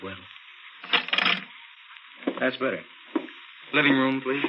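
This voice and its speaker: Cold-blooded racketeer played by a male actor, American, medium-pitched, clipped and commanding, muffled vintage radio thriller sound. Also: heavy background noise, lightly acted. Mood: cold, menacing. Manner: brisk, clipped, commanding tough talk. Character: cold-blooded racketeer murderer posing as a policeman. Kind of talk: 1940s radio thriller acting.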